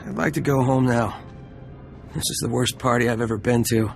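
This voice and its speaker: A man's voice: mid-range voice, fairly quick, gravelly, faint music behind, close to an everyday voice. A male actor playing a cocky, grumbling adventurer in a sci-fi video game, with a dry, sarcastic delivery. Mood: wry. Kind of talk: video game cutscene acting.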